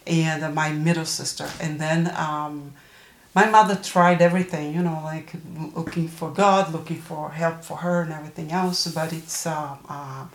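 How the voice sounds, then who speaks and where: A woman in her early sixties with a Brazilian accent, giving personal testimony as an interview guest, haltingly searching for words. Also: low voice, fairly even intonation. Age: early 60s. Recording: roomy, echoing interview recording.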